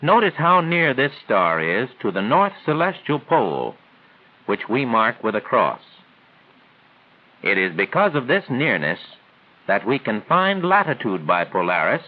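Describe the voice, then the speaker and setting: 1940s training film narrator, tinny old soundtrack. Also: male voice, mid-range voice. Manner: formal, didactic, measured phrasing. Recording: thin, band-limited 1940s film soundtrack.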